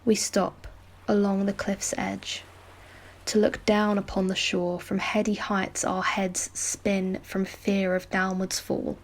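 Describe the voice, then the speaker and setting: Female poetry reader, restrained and measured, little pitch movement, unhurried. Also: flat delivery. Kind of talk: poetry recitation.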